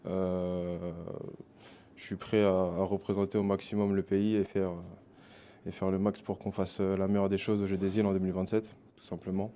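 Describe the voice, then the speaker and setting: A man's voice: deep voice, flat delivery, moderate pace, dark tone. A man in his twenties from the Paris region introducing himself as a basketball player, with many fillers. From Paris region, France. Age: 20s. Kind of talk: self-introduction.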